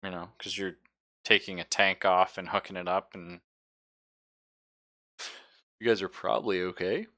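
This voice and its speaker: A man acts as game master in a casual tabletop session. His voice is very low yet bright, recorded dry and close. He narrates informally, with jokes and swearing. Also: steady tone, moderate pace, long pauses, no echo.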